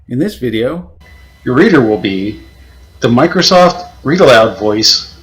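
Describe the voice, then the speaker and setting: A man's voice: mid-range voice, animated, measured pace, a bit bright, rough recording. A man giving a short scripted introduction as an author presenting his own writing.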